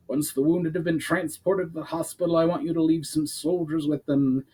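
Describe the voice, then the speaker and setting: A man's voice: a little high, a little mellow, breathy edge, voice pushed up, low-quality recording. A man voicing a stern, authoritative knight commander with formal speech in a fantasy audiobook. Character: stern, commanding male knight commander, protective of his city.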